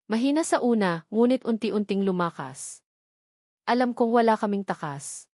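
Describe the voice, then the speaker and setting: A woman's voice: medium pitch, expressive, moderate pace, a little mellow, dry recording, studio quality. A woman reading a scripted dramatic love story as a narrator.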